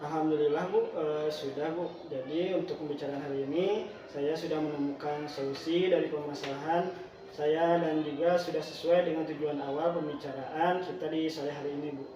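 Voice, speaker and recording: Echoey, distant, higher-pitched hesitant man. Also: fairly even intonation, normal speed, lo-fi sound, over music. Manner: hesitant, polite, deferential. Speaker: teacher being coached. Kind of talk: role-play coaching conversation, answering questions. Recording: very echoey room, distant mic, noisy.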